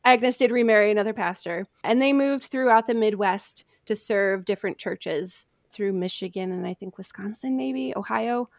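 A woman's voice: medium pitch, normal speed, gravelly, hardly any pauses, no room sound, studio quality. An American woman hosting a conversational history story, telling it from notes.

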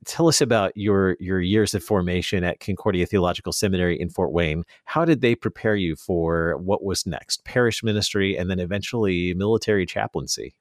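Lower-voiced American male radio host. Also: dry recording, clean studio sound. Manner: halting, repeats words as he frames thoughts. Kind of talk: conversational interview hosting.

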